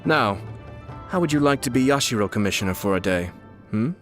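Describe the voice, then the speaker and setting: A man voicing a suave, scheming young nobleman and clan head from a video game, with polished, formal, measured speech and a slyly amused, teasing politeness. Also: on the low side, animated, natural voice. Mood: smug, courteous. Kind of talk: video game character voice lines. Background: music.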